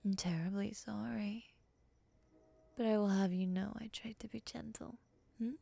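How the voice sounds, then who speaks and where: A woman playing a soft-spoken, menacing moth-human monster in an ASMR roleplay, hushed, formal and condescending. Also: medium pitch, steady tone, normal speed, creaky. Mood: coolly polite.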